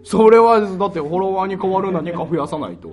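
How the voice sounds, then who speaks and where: A man with a Kansai accent co-hosting a comedy radio show in casual banter, insistent and quick to retort. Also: sits high, expressive, darkish tone.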